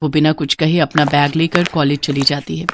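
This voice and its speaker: A woman's voice: deep voice, fairly even intonation, moderate pace, background noise. A woman narrating a scripted story in a dramatic storytelling style.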